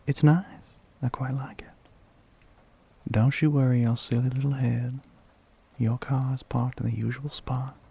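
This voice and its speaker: A man voicing a flirty, sly, gentlemanly demon with a Southern US accent in an ASMR roleplay, half-whispered, breathy and husky, with a teasing drawl. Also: mid-range voice, level tone, exaggerated voice. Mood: smooth, teasing. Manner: slow, teasing drawl with pet names.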